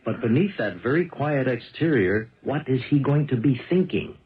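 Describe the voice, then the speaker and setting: On a dull old radio recording, an actor plays a meddling brother in a 1970s drama. His pitch moves in a lively way as he probes and persuades, colloquial, shrewd and insistent. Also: normal speed, lightly acted. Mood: persuasive.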